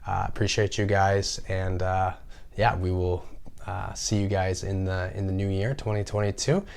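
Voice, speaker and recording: A man with a slightly raspy voice from a recent cold, talking casually as the host of an informational vlog. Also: deep voice, level tone, moderate pace, darkish tone. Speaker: personal finance video host.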